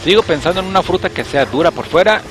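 Animated Mexican man streaming a game. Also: sits high, fast talker. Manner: joking, animated, talks to chat. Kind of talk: casual gameplay commentary. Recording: music playing behind voice.

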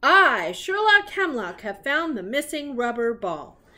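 A woman's voice: high voice, sing-song, unhurried, bright, forceful tone, voice pushed up, heavily acted. A woman voicing a pompous, scatterbrained male detective who makes grand proclamations in a children's storybook. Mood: pompous pride. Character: pompous, scatterbrained male detective puppet character, proudly self-important. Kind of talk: children's storybook read-aloud.

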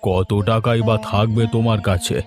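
Male actor as worried cartoon farmer father, middle-aged, deep-pitched, grumbling, prominent music behind. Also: steady tone, moderate pace, natural voice. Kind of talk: Bengali moral-story cartoon dubbing.

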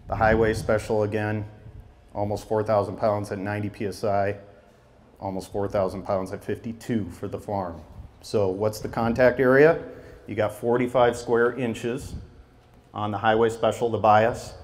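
Lower-voiced man presenting at an outdoor expo. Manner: plain, steady explaining with frequent ums. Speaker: regional tire sales manager. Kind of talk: trade expo product presentation. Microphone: handheld microphone.

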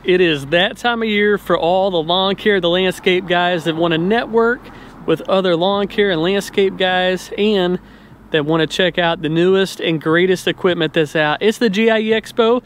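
A man's voice: high-pitched, normal speed, a little hiss or hum. A middle-aged American man chatting casually and informally to camera as a lawn care vlogger, outdoors on a handheld camera. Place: outdoors in an open paved lot. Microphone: handheld camera at arm's length.

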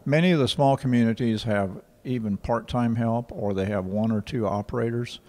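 An older man, answering interview questions in a measured, factual way with frequent pauses. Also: medium pitch, a little mellow. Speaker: rural water association director. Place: indoor office in front of a painting. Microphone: handheld station mic.

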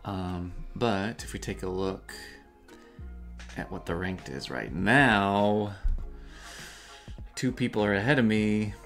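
A man's voice: on the low side, takes it slowly, long pauses, soft background music. Laid-back man chatting about a game. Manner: relaxed, explains step by step with many fillers. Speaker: co-host. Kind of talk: casual gaming chat. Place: small home room.

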